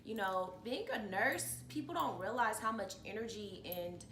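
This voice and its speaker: A woman's voice: mid-range voice, expressive, moderate pace. A woman of thirty-one talking casually about herself as an interview guest. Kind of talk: casual personal chat. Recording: echoey room with faint noise.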